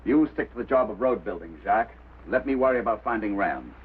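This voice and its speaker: An actor playing a brusque, impatient, skeptical mining company boss, commanding and dismissive, in mid-century film drama style. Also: male voice, lowish voice, animated, fast talker, phone-quality sound, close to an everyday voice. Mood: curt. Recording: thin, noisy old film soundtrack.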